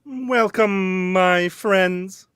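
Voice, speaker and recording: Woman playing a slow-spoken, reverent male alien leader. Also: mid-range voice, a bit bright, clean tone, no echo. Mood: reverent welcome. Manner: formal, deliberate, ceremonious. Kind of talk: live script read, radio theatre.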